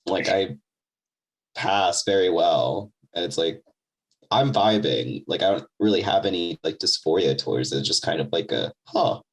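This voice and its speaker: A young man talking as a podcast guest in a casual, rambling way, full of fillers and quick laughs, with a deep voice. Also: lively intonation, breathy edge, low-quality recording. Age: young adult. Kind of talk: casual conversation.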